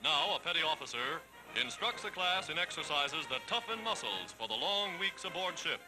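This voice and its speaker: A man's voice: on the high side, animated, moderate pace, low-quality recording. An American man reading scripted 1940s newsreel narration in a formal, dramatic, declamatory manner. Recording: vintage 1940s newsreel soundtrack, loud music. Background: loud newsreel music.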